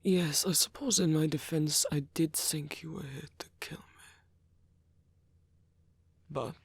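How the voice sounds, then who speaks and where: Guy doing a lonely old vampire for asmr, slow breathy gravelly voice, gentle n polite, kinda hesitant, super close dry sound. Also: on the high side, no echo, exaggerated voice. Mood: shy, apologetic. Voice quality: breathy, husky, gravelly whisper. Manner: hesitant, slow, softly formal. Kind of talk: intimate ASMR roleplay acting.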